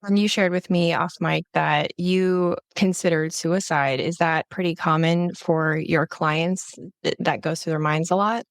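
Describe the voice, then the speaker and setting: Low-pitched woman hosting a podcast interview. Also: steady tone, measured pace, no echo, studio quality. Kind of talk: conversational interview.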